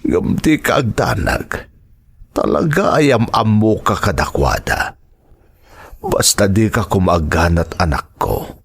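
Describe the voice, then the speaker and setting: A man's voice: fairly low pitch, lively intonation, slow pace, creaky, breathy edge, heavily acted. A man with an Ilocano accent playing a troubled father who hesitantly soothes his child in a radio drama. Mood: troubled, soothing.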